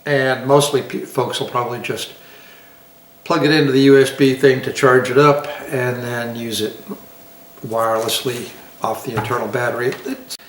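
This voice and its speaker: A man's voice: mid-range voice, fairly even intonation, moderate pace, slight background noise. An older man, sixty or above, giving a casual product demonstration in an easygoing, folksy, plain-spoken way. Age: 60s or older. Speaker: product reviewer. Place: home dining room, indoors. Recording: echoey room, distant microphone.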